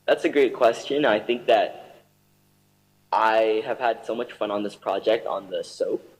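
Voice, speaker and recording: A man's voice: on the low side, a little slow, in a large hall, poor audio. A young man from Ethiopia answering interview questions over a video call, earnest and eager, with fillers. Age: young adult. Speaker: guest.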